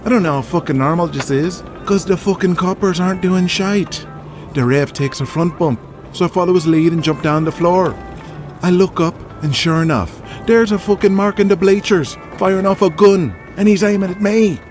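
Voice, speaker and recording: A man's voice: sits high, moderate pace, darkish tone, natural voice. A man voicing a crude, boastful Irish wrestler in an audio drama, rowdy and foul-mouthed, with a music bed behind. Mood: rowdy storytelling.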